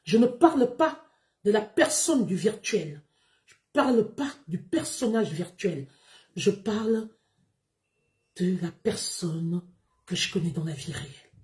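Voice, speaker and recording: Slow, emphatic woman. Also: mid-range voice, lively intonation, darkish tone. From Gabon. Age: 30s. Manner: emphatic, declamatory, deliberate. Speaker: live streamer. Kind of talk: livestream monologue to followers.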